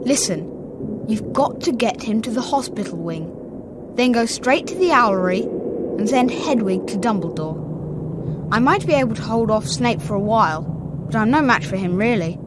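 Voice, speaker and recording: A woman's voice: on the high side, expressive, normal speed, heavy background noise, natural voice. A woman playing a brave, loyal young boy wizard with an English accent in a video game cutscene. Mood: determined.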